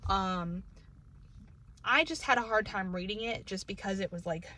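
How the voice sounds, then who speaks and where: New england lady chatting bout a book in her car, lots of likes and ums, mid-pitched voice. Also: normal speed, sharp tone. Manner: rambling, filler-heavy, many "like" and "um". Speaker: book reviewer. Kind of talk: casual book review vlog. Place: inside a parked car. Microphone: camera in the car.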